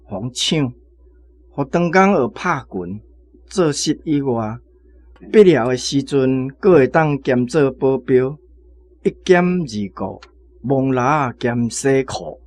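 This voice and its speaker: A man with a Taiwanese accent tells a radio drama story at a very unhurried pace. Faint music sits behind his mid-pitched voice. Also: darkish tone.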